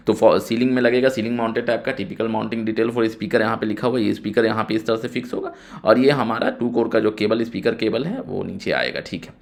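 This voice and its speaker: A man giving an informal tutorial, explaining technical drawings step by step and often repeating himself. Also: on the low side. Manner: step-by-step explaining with frequent repetition. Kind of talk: informal screen-share tutorial narration.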